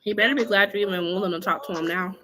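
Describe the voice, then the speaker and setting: A woman's voice: mid-range voice, racing. A woman giving live tarot readings in a casual, chatty way, her voice scratchy from allergies, often checking in with "okay?" and laughing.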